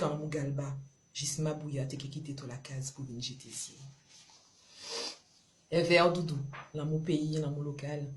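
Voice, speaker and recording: A woman from Guadeloupe with a Creole accent, performing spoken-word love poetry in short, rhythmic, incantatory phrases. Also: sits low. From Marie-Galante, Guadeloupe. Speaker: poet.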